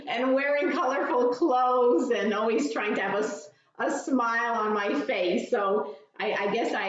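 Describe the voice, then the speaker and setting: A woman from Argentina, a dietitian, chatting casually over a video call from her home kitchen, often restarting her words. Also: a little high. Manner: warm, chatty, with frequent restarts and light laughs. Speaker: dietitian leading a cooking class. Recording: very echoey, distant, dull video-call audio.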